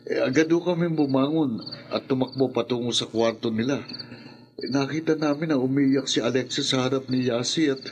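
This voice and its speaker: A man's voice: medium pitch, brisk, lo-fi sound, a little hiss or hum, lightly acted. An actor playing a defensive, hot-tempered young man with an emphatic delivery in a Filipino radio horror drama. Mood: anxious recounting.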